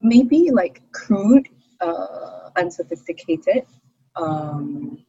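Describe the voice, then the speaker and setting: A young woman from Singapore with a Singaporean English accent, chatting expressively as an interview guest, with frequent fillers and quick laughs. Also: fairly low pitch, big pitch swings, dark tone, breathy edge, dry recording, highs cut off. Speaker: interview guest, hawker's daughter. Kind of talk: casual interview conversation.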